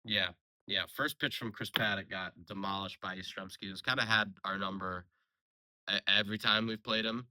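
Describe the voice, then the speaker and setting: A young man giving a casual, rambling solo sports recap, a little hoarse from a sore throat and full of fillers and restarts. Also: lowish voice, steady tone, normal speed, pushed and bright. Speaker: sports podcast host.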